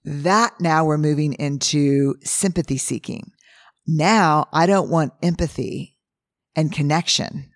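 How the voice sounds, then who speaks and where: A middle-aged woman whose voice is hoarse and husky today. She talks in a candid, lively way and acts out the things people say as she tells stories to her podcast listeners. The sound is dry and close to the mic. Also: low voice, slow, dry recording. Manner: candid, animated storytelling with acted-out quotes. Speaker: podcast host. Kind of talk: casual solo talk.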